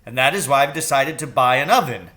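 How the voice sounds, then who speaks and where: A man's voice: mid-range voice, expressive, fast talker, sharp tone. A man voicing an exuberant, boastful, loving father in a children's story, with grand, emphatic declarations. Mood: eager.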